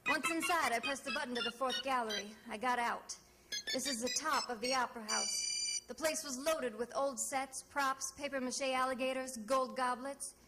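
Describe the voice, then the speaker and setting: An actress playing a brisk, plucky woman detective in an old-time radio mystery, with crisp, dramatic delivery. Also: high-pitched, heavy background noise. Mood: urgent, suspenseful.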